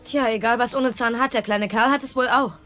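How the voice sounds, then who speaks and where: A woman's voice: a little high, steady tone, fairly quick, a bit bright, faint music behind, lightly acted. An actress playing a confident, plain-spoken young Viking woman in an audio drama. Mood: observing.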